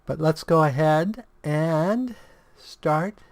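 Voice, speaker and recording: A man with a sore throat and strained voice hosting a casual game walkthrough, explaining choices in a halting way and correcting himself. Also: a little high, no room sound. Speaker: video game guide host.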